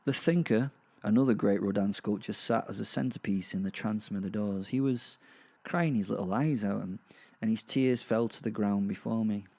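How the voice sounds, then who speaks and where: A British man narrating a darkly comic audio drama in the first person, with wry, conversational, halting asides. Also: fairly low pitch, creaky, warm tone.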